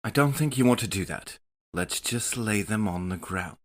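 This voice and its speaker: A man's voice: lowish voice, animated, moderate pace. A man playing a tabletop game, talking casually and jokingly through his moves.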